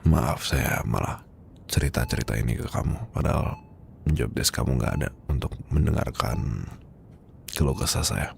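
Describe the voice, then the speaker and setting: A man playing a weary, heartbroken boss confiding from his sickbed in an ASMR roleplay, with an Indonesian accent and a hesitant, breathy, murmuring delivery. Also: very deep voice, slight background noise, full character voice. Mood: subdued, confiding. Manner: hesitant, murmuring, halting, sighing.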